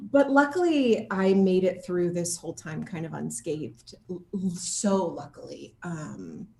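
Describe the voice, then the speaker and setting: A woman chatting casually as an interview guest, telling anecdotes in an animated, jokey way, with laughter close at hand. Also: on the low side, slow pace, creaky, roomy sound. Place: at home, indoor room.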